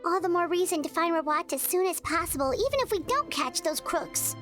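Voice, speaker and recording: A woman voicing a small, excitable female companion sprite in a game, eager and bouncy. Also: extremely high pitch, soft background music. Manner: eager, bouncy, quick to chime in.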